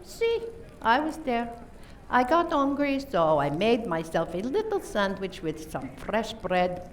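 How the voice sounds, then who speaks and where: A coy, gossipy female suspect, acted by a woman in an amateur radio mystery. She talks very slowly in a measured, insinuating way. She sounds slightly away from the mic, over faint noise. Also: medium pitch, darkish tone, recorded from a distance, close to an everyday voice. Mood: coy, defensive. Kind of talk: amateur radio play acting.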